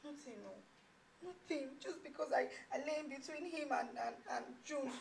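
A woman's voice: high voice, moderate pace, vocal fry, slight background noise. A woman with a Nigerian accent playing a desperate, scorned young lover in a film melodrama, dramatic and repeating her words. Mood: tearful, hurt.

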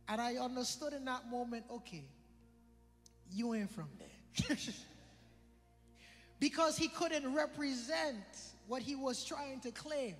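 Guy preaching, super high voice, loose and jokey, repeats lines, big hall echo. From British Virgin Islands. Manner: conversational, joking, repeats phrases for emphasis. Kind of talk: sermon. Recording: long hall reverb with faint music.

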